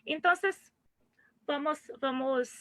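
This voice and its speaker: Brazilian woman speaker, bright, crisp tone, Portuguese-accented Spanish with restarts, seminar talk. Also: high-pitched, no echo. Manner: mixes Portuguese words into halting Spanish, frequent restarts. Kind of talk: online seminar lecture.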